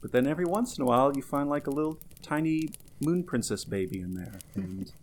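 A man's voice: mid-range voice, animated, a little fast, a little mellow, a little hiss or hum. A man co-hosting a podcast in casual chat, upbeat and rambling, full of filler words. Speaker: podcast co-host.

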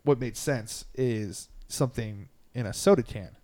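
A man's voice: medium pitch, measured pace, warm tone. Wry joking male podcast host. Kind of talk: casual chat.

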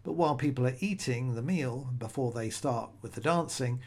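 A man's voice: mid-range voice, moderate pace, a little mellow. A middle-aged man hosting a solo podcast, talking in a conversational, rambling way with frequent restarts. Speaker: podcast host.

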